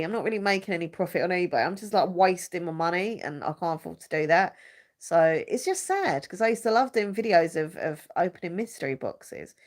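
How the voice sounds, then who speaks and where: Stuffy-nosed woman rambling. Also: on the low side. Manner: chatty and rambling, searches for words, many fillers. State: stuffy, blocked-up nose. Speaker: YouTuber hosting a live chat. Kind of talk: casual rambling live chat.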